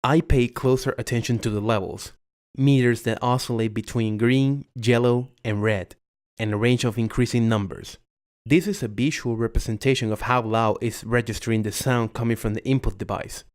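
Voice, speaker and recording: A man reading his own scripted voiceover in a measured, formal way, close to a USB condenser mic at home. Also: mid-range voice, fairly even intonation, a little mellow. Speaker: video creator.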